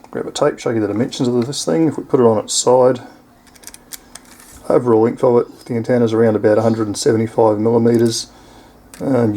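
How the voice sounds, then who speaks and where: A man's voice: on the low side, warm tone, slight background noise. An Australian man giving a casual, explanatory hands-on product review in an informal way.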